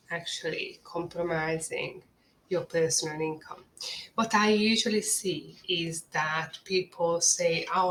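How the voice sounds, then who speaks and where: A woman with a Hungarian accent, an agency owner giving a scripted webinar presentation in an earnest, measured, salesy way. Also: lowish voice, fairly bright tone, slight background noise, poor audio.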